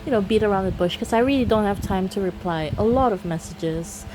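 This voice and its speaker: A woman's voice: medium pitch, fairly quick, noisy background. A woman from Singapore with a Singaporean accent, chatting casually to viewers about a mobile game, filling her talk with "you know" and laughing often.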